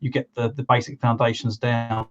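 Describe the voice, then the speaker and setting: A man's voice: medium pitch, flat delivery, moderate pace, fairly bright tone, highs cut off. A man co-hosting a podcast, talking casually with lots of hesitations and restarts. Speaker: podcast co-host. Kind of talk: casual conversation.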